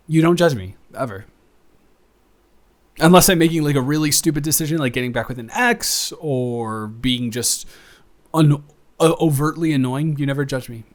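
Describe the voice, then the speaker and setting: A man's voice: mid-range voice, lightly acted. Man acting a hesitant, affectionate male roommate. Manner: hesitant, rambling, stammering. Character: shy, affectionate male roommate confessing to best friend. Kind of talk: improvised intimate audio roleplay.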